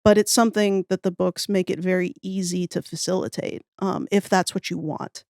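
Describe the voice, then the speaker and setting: A woman's voice: lowish voice, steady tone, moderate pace, darkish tone, dry recording, studio quality. A woman speaking as a podcast guest in casual, opinionated conversation, thinking aloud in an informal, emphatic way. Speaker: podcast guest, audio fiction writer.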